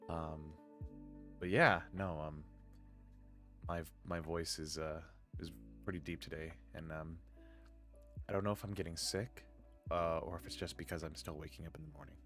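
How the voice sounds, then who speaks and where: A man hosting a live stream in casual chat, groggy from just waking up and rambling in a low-key way. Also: steady tone, normal speed, pauses often, fairly bright tone, soft background music. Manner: rambling and low-key, with many filler words. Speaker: streamer and podcast host.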